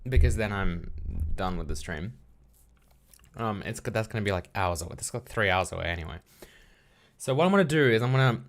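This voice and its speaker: A young man streaming live, thinking aloud while coding and chatting casually with viewers, often trailing off into mumbles. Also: on the low side. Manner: relaxed, self-deprecating and talkative with chat. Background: keyboard typing and clicks.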